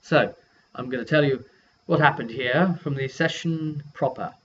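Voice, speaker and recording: Male game master and vlogger, casual and halting recap, middle-pitched voice, lo-fi audio with faint noise. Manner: halting, self-correcting delivery. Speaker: game master and video host. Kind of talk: casual rambling session recap. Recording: dull lo-fi sound with faint noise.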